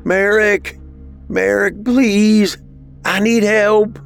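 Man voicing a whining, high-pitched panicked young boy. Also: steady tone, drawn-out words, vocal fry, clear, resonant tone, faint music behind, full character voice. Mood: desperate. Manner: whining, gasping, crying pleas. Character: frightened, pleading young boy in a Halloween mask.

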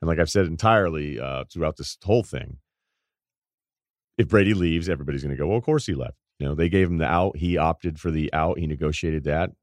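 A man with a deep voice hosting a podcast, telling rambling stories in casual chat and laughing at his own anecdotes. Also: a little fast, dry recording, clean studio sound. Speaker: podcast host.

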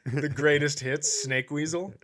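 Higher-voiced man in playful podcast banter. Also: steady tone, unhurried, a bit bright, a little hiss or hum. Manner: playful interjecting. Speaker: comedy podcast co-host. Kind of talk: casual joking banter.

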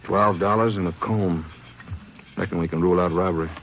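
Low-voiced folksy western ranger. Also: male voice, steady tone, brisk. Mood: serious, puzzled. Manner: folksy, plain-spoken. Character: easygoing, folksy Texas Ranger deputy, grown man. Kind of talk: 1950s radio western drama acting. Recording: muffled, noisy 1950s radio recording.

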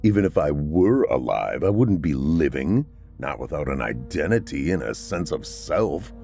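A man's voice: a bass voice, big pitch swings, moderate pace, vocal fry, warm tone, soft background music, heavily acted. A man voicing a brooding, self-pitying vampire man three centuries old, melodramatic and anguished.

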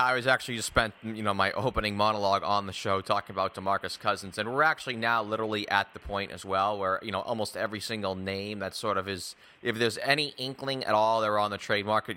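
A man's voice: lowish voice, sharp tone. A man hosting a conversational sports talk show, interviewing in a wordy, rambling way. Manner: wordy, rambling lead-ins to questions.

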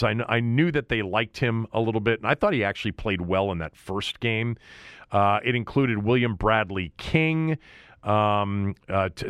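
A man hosting a sports show alone, talking casually and conversationally in halting phrases with asides and repetition. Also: on the low side, a bit bright, clear, resonant tone, no echo, clean studio sound. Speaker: sports podcast host. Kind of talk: casual sports commentary monologue.

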